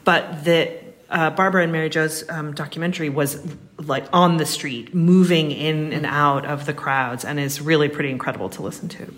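A woman's voice: sits low, moderate pace. A woman speaking as a writer being interviewed, earnest and emphatic, thinking aloud as she goes. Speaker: guest, writer. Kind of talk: reflective interview conversation.

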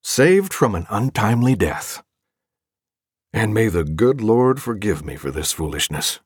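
Casting: wry, kindly farm father, low voice with lively swoops, mock-solemn delivery, dry studio sound. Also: measured pace, husky, no echo, exaggerated voice. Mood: wry, gentle. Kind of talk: audiobook full-cast character acting.

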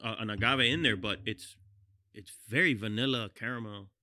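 A man's voice: on the low side, brisk, sharp tone, no room sound, clean studio sound. A man co-hosting a podcast, wry and teasing, describing flavors in casual detail. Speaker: podcast co-host. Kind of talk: casual tasting chat.

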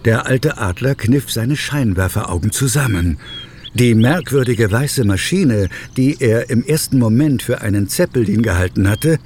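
A man narrating a scripted children's story in a measured, descriptive way. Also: mid-range voice, expressive. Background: background music.

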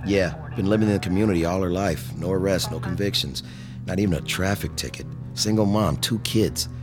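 A man's voice: deep voice, fairly quick, natural voice. An actor playing a male police officer who briefs his partner in a clipped, matter-of-fact way. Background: music.

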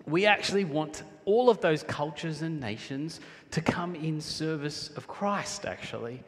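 A man from Sri Lanka preaching a sermon as a pastor, in an emphatic but conversational way, slowing down for weight. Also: on the high side. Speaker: pastor preaching to his congregation. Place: large church hall, speaking to a congregation. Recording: echoey hall with long reverb.

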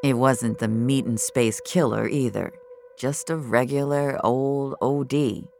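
A woman voicing Soren, low-key, deep-voiced young guy. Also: steady tone, unhurried, vocal fry, warm tone, a little hiss or hum, heavily acted. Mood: downcast. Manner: subdued, casual, hesitant. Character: Soren, low-key, apologetic young male online friend.

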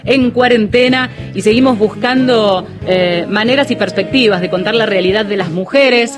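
A woman's voice: mid-range voice, moderate pace, over music. A woman co-hosting a radio program, conversational and explanatory. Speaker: radio host.

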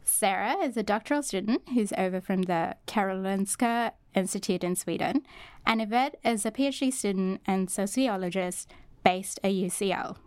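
Brief: female podcast host, smooth resonant voice, friendly and measured. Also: mid-range voice, a little mellow. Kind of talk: podcast interview.

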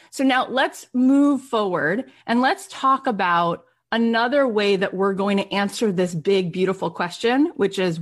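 A woman speaking as a motivational coach to an audience, emphasizing and repeating key phrases and asking rhetorical questions. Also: mid-range voice. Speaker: podcast host. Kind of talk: motivational workshop talk to an audience.

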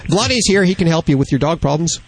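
A man's voice: on the high side, animated, no echo. Upbeat male radio show host. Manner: upbeat, quick, fills gaps with uh. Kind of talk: radio host patter and ad reads. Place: radio studio. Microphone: studio microphone.

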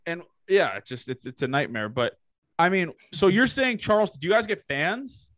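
A man's voice: high-pitched, lively intonation, normal speed, fairly bright tone, no echo. A young man from Canada with a slight Canadian accent, hosting a casual podcast and firing off loose, swearing questions. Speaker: podcast host.

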